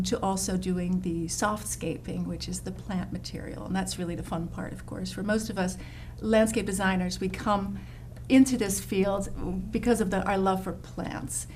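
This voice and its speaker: A woman from the Netherlands speaks as a guest in a relaxed interview. She talks with eagerness and many small fillers, at a middle pitch. Faint background noise sits under her voice. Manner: warm, enthusiastic, many fillers and restarts. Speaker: landscape designer guest. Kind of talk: casual interview chat.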